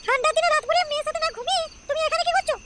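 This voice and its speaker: A woman voicing a scolding middle-aged Bengali mother-in-law in a comedy cartoon, shrill and repetitive. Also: racing, loud music behind the voice.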